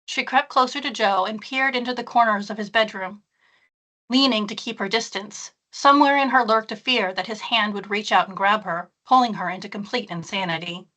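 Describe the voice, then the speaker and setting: A woman's voice: mid-range voice, a little fast, bright, forceful tone. A woman author, reading her own fiction aloud or chatting about it as a guest.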